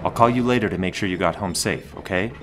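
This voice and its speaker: Guy playing calm protective hero, lowish voice, superhero audio drama. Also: fast talker, a little hiss or hum. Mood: reassuring. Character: calm, reassuring, protective adult male hero. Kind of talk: fan-made superhero audio drama acting.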